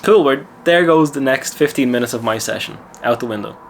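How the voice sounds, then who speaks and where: A man's voice: medium pitch, fairly quick, gravelly, a little hiss or hum. A man from Lithuania with an Irish accent, a mindset coach telling a gym story in casual talk and then giving self-assured advice. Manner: chatty, self-assured, lecturing.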